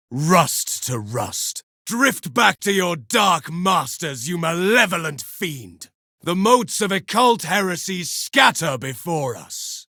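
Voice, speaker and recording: A man's voice: a little high, creaky, pushed and bright, dry recording, clean studio sound, exaggerated voice. An actor playing a grim, pious armored male super-soldier, declaiming solemn insults over slain foes in a video game. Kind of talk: video game combat voice lines.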